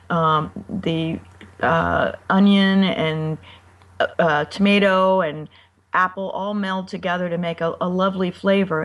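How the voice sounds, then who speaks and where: American woman, soft and a bit distant. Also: on the low side. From United States. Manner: friendly, halting with ums. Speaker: cookbook author, guest. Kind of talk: interview answers.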